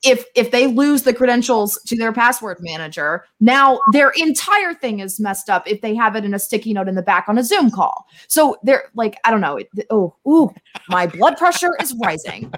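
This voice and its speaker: A woman's voice: fairly high pitch, lively intonation, moderate pace. A woman guesting on a podcast, chatting casually in an outspoken, sassy, informal way. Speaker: podcast guest, security marketer. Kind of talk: casual chat.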